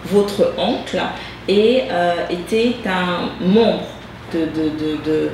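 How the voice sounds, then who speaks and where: Softly pitched woman host, distant echo. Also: slow. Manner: hesitant, many fillers and restarts. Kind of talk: web TV interview. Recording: echoey, distant mic with hum.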